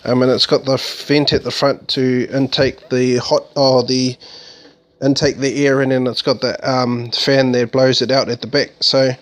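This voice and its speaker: A man with a mid-pitched voice talks through equipment in an informal, unscripted review. His explanations come haltingly and are loosely worded, often trailing off before he picks up the next point. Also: little pitch movement. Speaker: gear reviewer demonstrating a mixer amp. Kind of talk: informal unscripted product walkthrough.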